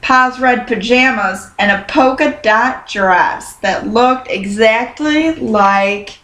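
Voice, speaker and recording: A woman reading a children's picture book aloud in a careful, expressive storytelling manner. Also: a little high, slow pace. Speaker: storybook reader. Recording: roomy, echoing sound.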